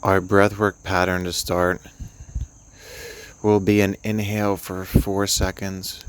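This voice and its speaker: Slow, low-voiced man guiding meditation. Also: little pitch movement, clean tone. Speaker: meditation guide. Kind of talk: guided sleep meditation.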